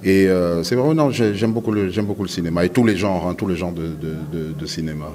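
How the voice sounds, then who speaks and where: A man with a low voice answers casually as an interview guest. He is from DR Congo and Switzerland, and he feels his way through sentences, often repeating a word while he thinks. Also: quick pace, darkish tone. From born in DR Congo, grew up in Switzerland and Belgium. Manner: hesitant, repeating words as he thinks.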